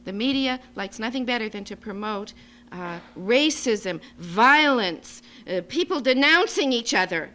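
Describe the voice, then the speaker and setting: A woman gives a semi-improvised public speech as an advocate, making her points emphatically and deliberately in short phrases. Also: a little high, expressive, bright, forceful tone. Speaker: advocacy group speaker at a symposium. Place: symposium venue. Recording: noisy live speech recording with hum.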